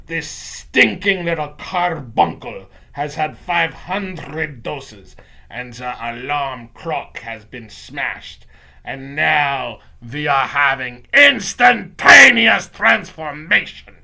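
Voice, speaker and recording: A man voicing a menacing, imperious female witch leader in a storybook, with commanding, drawn-out delivery. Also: a little high, vocal fry, sharp tone, exaggerated voice. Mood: triumphant yelling.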